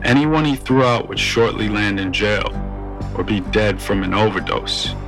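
A man's voice: fairly low pitch, moderate pace, creaky, music in the background. A man reading a quotation aloud in a reflective, questioning manner. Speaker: reader voicing a quoted member.

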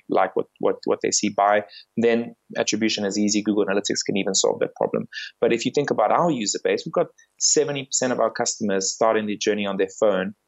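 Low gravelly-voiced man with muffled audio. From Bulgaria, raised in South Africa. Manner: measured, explanatory, with frequent fillers and restarts. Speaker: company founder and guest. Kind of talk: podcast interview.

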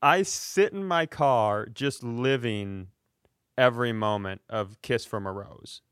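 A man telling comedic stories in casual chat as a podcast host, with animated, profane ranting. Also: mid-range voice, slow pace, clear, resonant tone, dry recording, studio quality.

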